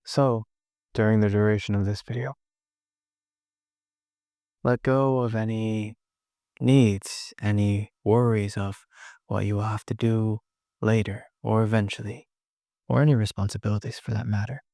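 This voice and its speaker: A man leading a guided meditation in short, gently instructive phrases with long pauses between them. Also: fairly low pitch, steady tone, dry recording, clean studio sound.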